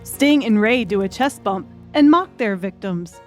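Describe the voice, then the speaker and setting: A woman's voice: mid-range voice, animated, unhurried, a little mellow, soft background music. A woman reading scripted, dramatic audiobook narration.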